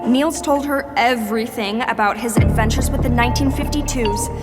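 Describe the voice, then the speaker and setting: A woman's voice: fairly high pitch, normal speed, lightly acted. A woman narrating a scripted family adventure story in a wry, knowing manner. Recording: long reverb, music bed.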